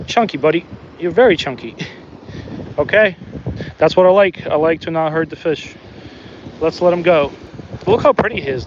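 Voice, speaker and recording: A high-voiced man talks into his phone on a windy beach, chatting away to his viewers and to the fish he catches. The sound is a little dull, with faint wind behind him. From Soviet Union. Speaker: fishing livestream host. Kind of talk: casual live commentary while fishing. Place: outdoors on a beach by the water.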